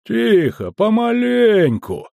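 A man voicing a sly, unhurried customs officer who speaks slowly and clearly, with folksy mockery, in an audiobook. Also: mid-range voice, very animated, a little mellow, dry recording, studio quality. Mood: mocking. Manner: slow, clear, drawling, mockingly folksy.

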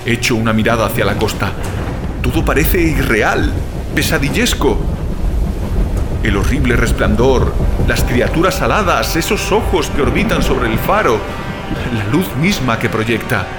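An actor playing a shaken but defiant survivor of sea monsters in an intense, dramatic horror audio drama. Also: male voice, medium pitch, expressive, unhurried, long pauses, close to an everyday voice. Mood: dread, resolve. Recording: loud music over the voice.

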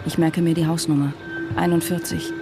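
Actress as watchful wife, thriller narration over music. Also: female voice, sits low, little pitch movement, a little fast, lightly acted. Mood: watchful, tense. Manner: terse, observant. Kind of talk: radio drama narration and dialogue. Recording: music under the voice.

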